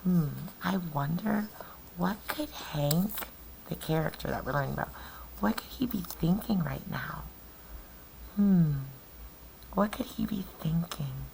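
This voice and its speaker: A woman teacher doing an interactive storybook read-aloud, deliberately questioning and explaining things to young children. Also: fairly low pitch, animated, vocal fry, a little mellow, slight background noise, low-quality recording.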